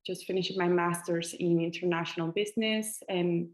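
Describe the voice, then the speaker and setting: A woman's voice: fairly low pitch, steady tone, normal speed. Chatty Chilean woman in an echoey room. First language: Spanish. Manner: chatty with many fillers and restarts. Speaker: author guest. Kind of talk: casual interview answers.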